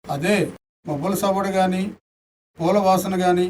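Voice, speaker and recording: Swaggering, high-voiced villain's sidekick. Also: male voice, fairly even intonation, a little slow, far from the mic, slight background noise. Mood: curious. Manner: mocking, flowery, theatrical. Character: swaggering, smooth-talking male companion of a villain. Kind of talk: radio play acting in Telugu.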